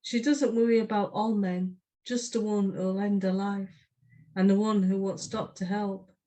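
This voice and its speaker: A woman's voice: mid-range voice, flat delivery, a little slow, mellow. A woman, speaking plainly as a podcast co-host who chats and reads her poetry. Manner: brief, plain-spoken. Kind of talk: casual podcast chat and poetry reading.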